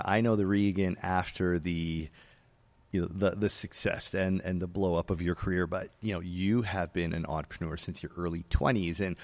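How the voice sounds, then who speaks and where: A man hosting a podcast, introducing his guest effusively with many "you know"s. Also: low-pitched. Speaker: podcast host. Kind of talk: interview introduction.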